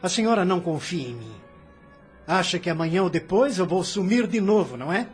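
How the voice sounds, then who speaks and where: Bossy grown son, high voice that swings widely, radio drama. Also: male voice, animated, fairly quick, faint music behind. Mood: domineering. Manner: commanding, then pleading. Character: returned adult son, forceful and domineering.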